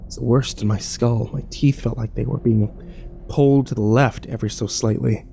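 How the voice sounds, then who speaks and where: A man's voice: medium pitch, steady tone, moderate pace, gravelly, dark tone, slight background noise, natural voice. A man playing a troubled, wary survivor who narrates his ordeal in a brooding first-person horror drama. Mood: uneasy.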